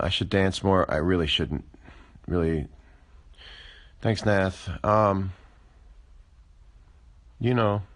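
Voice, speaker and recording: A man of forty chatting casually on a phone livestream, musing aloud with wry, deadpan asides. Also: sits low. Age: 40s. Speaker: livestream host.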